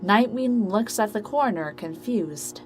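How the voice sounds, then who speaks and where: A woman's voice: medium pitch, expressive, unhurried, soft background music. A woman reading scripted narration for an amateur audio drama story.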